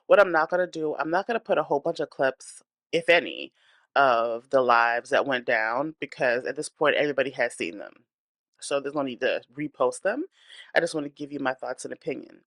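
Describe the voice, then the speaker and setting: A woman's voice: a bass voice, fairly quick, fairly bright tone, dry recording, clean studio sound. A woman hosting a celebrity gossip channel, speaking in a blunt, opinionated, chatty way while congested from a cold and breathing hard. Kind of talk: casual celebrity gossip commentary.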